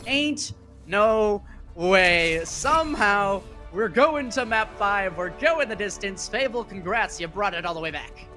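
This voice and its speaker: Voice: mid-range voice, expressive, unhurried, sharp tone. An esports caster hosting a live broadcast, giving play-by-play in a conversational, playful manner. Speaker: esports commentator and broadcast host. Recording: music behind the voice. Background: background music.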